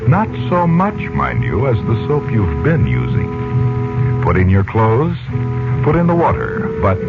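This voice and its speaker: A male announcer from vintage radio, deep-pitched and theatrical. His emphatic delivery rises and falls widely. The sound is dull and old. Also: huge pitch swings, a little slow. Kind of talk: 1940s scripted radio announcing, soap pitch and recap. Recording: muffled 1940s radio recording. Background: loud music.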